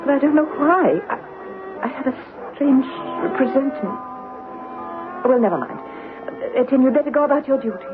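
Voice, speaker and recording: A woman playing a wistful, theatrical stage actress haunted by foreboding, in old-time radio drama style with halting, dramatic delivery. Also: sits high, animated. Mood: uneasy. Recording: narrow-band old radio broadcast, faint music.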